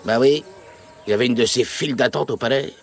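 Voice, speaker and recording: An actor playing a cheeky, quick-witted folk trickster in a French children's cartoon, with playful banter. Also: male voice, mid-range voice, music in the background, close to an everyday voice. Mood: indignant.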